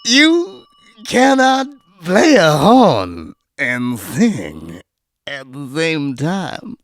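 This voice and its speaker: A man playing a cocky, simple-minded satyr in a radio drama, with exaggerated, drawn-out delivery. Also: on the high side, huge pitch swings, a little hiss or hum, exaggerated voice. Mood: dawning dismay. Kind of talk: theatrical radio drama acting.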